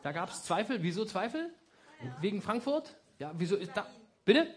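A male stand-up comedian on stage, speaking with a slightly raised, very lively pitch. His delivery is sarcastic and teasing, with the audience laughing along in a live venue. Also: normal speed. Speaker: stand-up comedian hosting a show.

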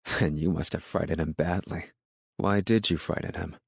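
A man voicing a calm, sensible country husband in an audiobook, with a wry, reasoning, mildly folksy manner. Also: low-pitched, moderate pace, vocal fry, breathy edge, dry recording, clean studio sound, exaggerated voice. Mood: challenging. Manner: reasoning, dryly challenging, folksy. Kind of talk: audiobook character dialogue.

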